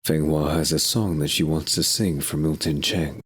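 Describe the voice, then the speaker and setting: Male narrator, very deep pitch, husky tone, scripted audio drama storytelling. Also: steady tone, normal speed.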